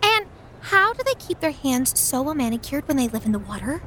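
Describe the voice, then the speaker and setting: A woman playing an excitable, chatty, easily dazzled adventurer in an audio drama, animated and gushing. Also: high-pitched, brisk, slight background noise, heavily acted. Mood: gushing, amazed.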